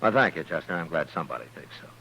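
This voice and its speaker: A man acting a steady, dryly wry U.S. marshal in a 1950s radio western, speaking dialogue and first-person narration. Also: very deep voice, very fast, creaky, a little hiss or hum, heavily acted, rough recording. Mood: dry, businesslike. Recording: old radio broadcast with music.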